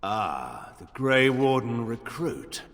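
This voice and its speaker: An actor playing a stern, imperious middle-aged regent and general in a fantasy game, speaking in formal, cutting oratory. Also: male voice, medium pitch, sing-song, takes it slowly, a bit bright. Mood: menacing, scornful. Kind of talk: dramatic fantasy game cutscene acting. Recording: long reverberant hall echo.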